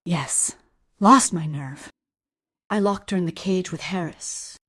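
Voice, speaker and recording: Low, husky, bitter woman. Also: takes it slowly, a bit bright, no echo, studio quality, exaggerated voice. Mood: bitter confession. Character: cold, bitter mother, a falcon keeper under suspicion. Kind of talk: crime audio drama dialogue.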